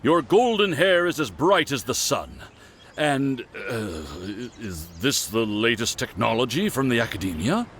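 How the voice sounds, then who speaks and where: Animated, fawning security officer. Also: male voice, medium pitch, measured pace, a little hiss or hum. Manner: flattering, formal, obsequious. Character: eager, deferential male desert security officer. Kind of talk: video game cutscene acting.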